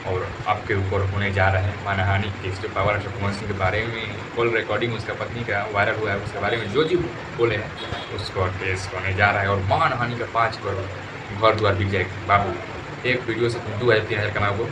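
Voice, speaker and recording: Male online gossip news presenter, Bhojpuri accent, lowish voice, emphatic and colloquial, noticeable noise behind him. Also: steady tone, a little fast. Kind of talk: informal YouTube news commentary.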